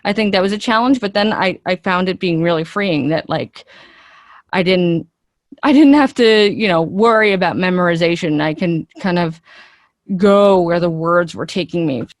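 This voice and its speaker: Woman guest, lowish voice, close dry sound. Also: moderate pace, no echo. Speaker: interview guest, actress. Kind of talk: conversational interview answers.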